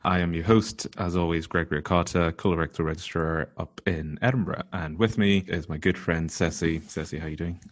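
A man's voice: deep voice, fairly even intonation, fairly quick, hardly any pauses. A young adult man hosting a podcast interview. He is upbeat and polite, and he laughs easily. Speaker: podcast host.

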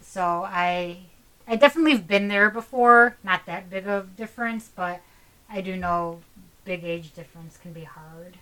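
Woman, bright voice, friendly, steady, casual podcast host. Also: lowish voice, moderate pace, poor audio. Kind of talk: casual chat and story retelling.

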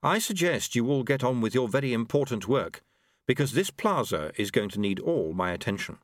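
A man doing a calm young monk. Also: medium pitch, dry recording, studio quality, lightly acted. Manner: calm, measured, quietly firm. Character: calm young male apprentice monk, quietly assured.

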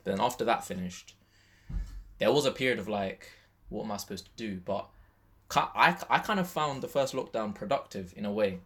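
Lowish-voiced young man in casual chat. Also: brisk, a bit bright. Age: 20s. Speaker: podcast co-host.

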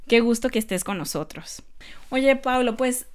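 A woman hosting a podcast, reading a scripted introduction and then welcoming her guest in a measured way. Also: fairly high pitch, smooth. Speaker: podcast host.

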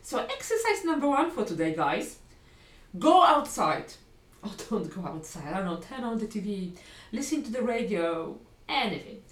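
A woman with a Polish accent, a language teacher giving a lively, encouraging video lesson and often correcting herself mid-sentence. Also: medium pitch, moderate pace. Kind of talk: video lesson talking to learners. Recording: roomy sound from a distant mic.

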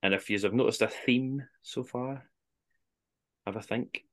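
A man's voice: mid-range voice, measured pace. A man with a Scottish accent hosting an informal quiz, reading out clues and scores. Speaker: quiz host on a football podcast.

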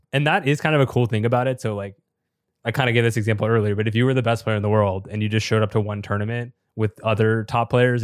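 A slightly raspy, low-voiced man who is a bit sick and losing his voice. He explains at length in a chatty, filler-heavy podcast style. His delivery stays flat, with very little rise and fall. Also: no room sound, clean studio sound. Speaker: podcast co-host and top competitive player.

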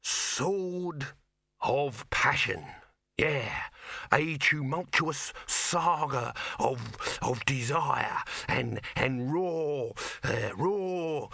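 A man acts out an excitable male huckster from a comic storybook. He pitches grandly and pushily, pitched up and swooping, with a bright, forceful edge. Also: gravelly, no room sound, exaggerated voice. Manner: forceful, grandiose sales patter. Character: excitable, pushy showman and fast-talking huckster, male.

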